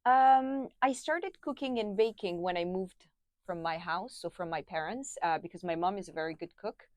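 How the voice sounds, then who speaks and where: A young woman from France, a guest on a podcast, chatty and laughing often, with many pauses and restarts. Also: fairly low pitch, dry recording, clean studio sound. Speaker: guest, food brand founder. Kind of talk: casual interview.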